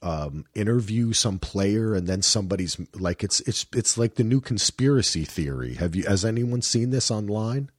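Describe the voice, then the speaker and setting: A man's voice: lowish voice, lively intonation, moderate pace, hardly any pauses, dry recording, studio quality. A man in his late forties hosting a casual sports podcast chat, rambling with frequent asides. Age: 40s. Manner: chatty, rambling, many "you know what I'm saying" asides.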